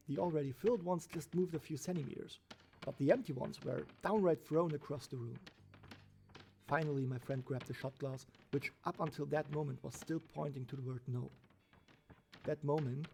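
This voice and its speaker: A man's voice: mid-range voice, gravelly, a little mellow, a little hiss or hum. A man reading a scripted first-person horror story as its narrator. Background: background music.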